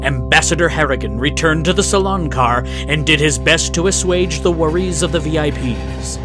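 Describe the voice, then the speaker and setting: A man's voice: a little high, moderate pace, natural voice. Man as dramatic old-time radio announcer over music. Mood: suspenseful. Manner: dramatic, grandiose, suspenseful. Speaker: announcer and narrator.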